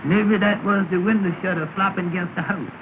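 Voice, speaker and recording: An actor playing a timid, nervous young man who talks in a slow, hesitant drawl, in a 1930 film comedy. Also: high-pitched, fairly even intonation. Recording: scratchy tinny 1930 film soundtrack with hiss.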